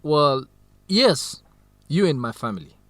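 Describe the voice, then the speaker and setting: A man's voice: on the high side, animated, measured pace, a bit bright, no echo. An actor playing an earnest young male university student in love, speaking carefully in a radio drama for English learners. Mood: calm, resolved.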